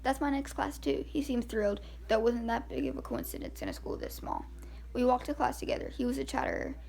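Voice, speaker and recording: Woman, amateur audiobook narration, strong creaky fry, lively swooping intonation. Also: on the high side, quick pace, slight background noise. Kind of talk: scripted novel narration, first person.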